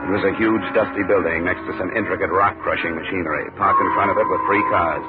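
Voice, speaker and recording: A man's voice: low-pitched, fairly even intonation, fast talker, close to an everyday voice. A man playing a tough, sardonic insurance investigator in a 1950 radio detective drama, with a clipped, wisecracking delivery. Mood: hard-boiled narrating. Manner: wisecracking, hard-boiled, clipped. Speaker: lead actor and narrator. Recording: tinny old 1950 radio recording.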